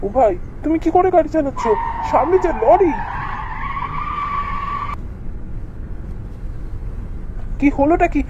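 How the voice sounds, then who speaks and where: A man's voice: very high voice, animated, quick pace, lo-fi sound, noisy background. A man voicing a guilty, anxious married writer in a horror cartoon, exclaiming and repeating himself. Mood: panicked. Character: guilty, jumpy married male writer in ghost story. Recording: music behind the voice.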